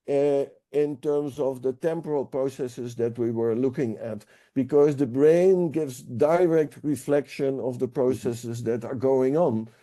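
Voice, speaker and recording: Man with a very dark voice. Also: medium pitch. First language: Dutch. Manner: thoughtful, halting with frequent fillers and restarts. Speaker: senior researcher guest. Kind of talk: explanatory interview talk.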